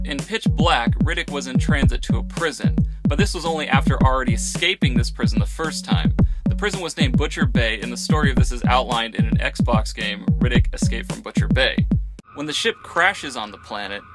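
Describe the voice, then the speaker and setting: Man narrating over loud music. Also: mid-range voice, expressive, brisk. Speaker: host of a film video series. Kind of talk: semi-scripted explainer narration.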